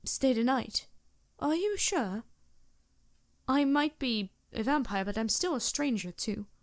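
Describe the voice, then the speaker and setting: A woman voicing a polite, anxious and gentle vampire with formal, earnest phrasing in a fantasy audio roleplay. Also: fairly high pitch, lively intonation, slow. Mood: cautioning. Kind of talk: fantasy ASMR roleplay acting.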